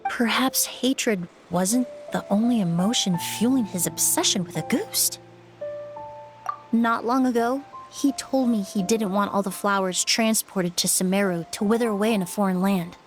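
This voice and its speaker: A woman voicing a composed young investigator who speaks in a measured, formal, reflective way in a video game. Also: mid-range voice, faint music behind, close to an everyday voice. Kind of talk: dubbed video game dialogue.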